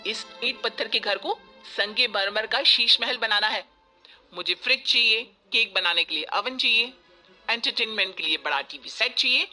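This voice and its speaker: Woman acting a high, whiny spoiled city bride. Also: pushed and bright, poor audio. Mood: angry, demanding. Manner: whiny, English words mixed in. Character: spoiled, demanding young city bride, modern and petulant. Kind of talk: Hindi moral story cartoon voice acting. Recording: music bed behind the voice.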